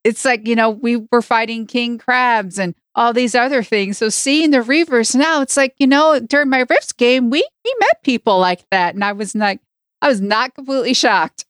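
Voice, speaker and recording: A woman's voice: a little high, normal speed, clean tone, no echo, studio quality. A woman chatting casually as a panelist on a review podcast. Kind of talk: casual chat, reviewing a cartoon.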